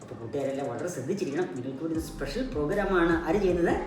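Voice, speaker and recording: A woman with a low voice tells a children's story like a teacher, prompting with questions and repeating key words. The recording is very echoey and distant from the mic, with music behind her. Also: lively intonation, racing, noisy background. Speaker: puppet show host, storyteller.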